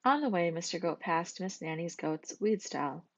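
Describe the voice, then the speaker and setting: Low-pitched woman reading a storybook. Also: lively intonation, moderate pace, no echo, lo-fi sound. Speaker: storybook reader. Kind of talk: scripted children's story narration.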